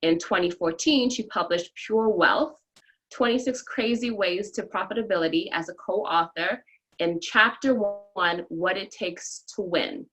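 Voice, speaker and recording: A woman's voice: medium pitch, measured pace, a bit bright, low-quality recording. A woman hosting a podcast, upbeat, reading a guest introduction. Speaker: podcast host. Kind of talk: interview with a scripted guest introduction.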